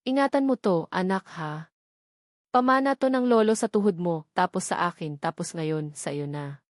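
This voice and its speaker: A woman voicing a tender father who speaks gently and earnestly to his son in an audiobook love story. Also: fairly low pitch, normal speed, no room sound, studio quality, lightly acted. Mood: tender, nostalgic. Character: tender adult father sharing memories with his young son.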